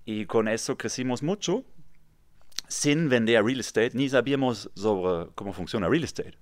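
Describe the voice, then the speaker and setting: A man's voice: medium pitch, expressive, normal speed, no echo, studio quality. A German man in his thirties with a German accent, telling his story as a podcast guest in a small recording booth, sometimes searching for words and repeating himself. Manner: earnest storytelling, occasional word searches and repeats. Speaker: guest, real estate developer. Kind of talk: podcast interview.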